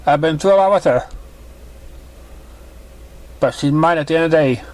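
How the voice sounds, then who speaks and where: A man voicing a caring, motherly pegasus mare in a cartoon fan fiction reading, gentle but firm and reassuring. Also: on the high side, moderate pace, pitched above the performer's own voice, close to an everyday voice. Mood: tender.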